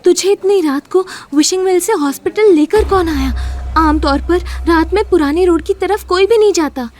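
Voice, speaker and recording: A woman's voice: extremely high pitch, moderate pace, a little mellow. A woman acting an inquisitive, perceptive young friend in an audio drama, speaking earnestly. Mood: thoughtful.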